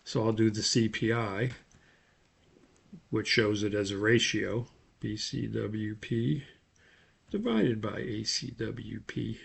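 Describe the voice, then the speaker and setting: A man giving a methodical, step-by-step spoken tutorial as an instructor, with a creaky voice. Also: on the low side, slow, pauses often. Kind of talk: spoken screen-share tutorial.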